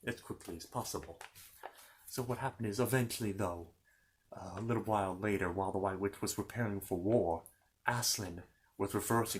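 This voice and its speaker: A man's voice: on the low side, a little mellow, rough recording. A man telling a children's fantasy story in an informal ASMR style, rambling along with playful, courteous asides to the listener.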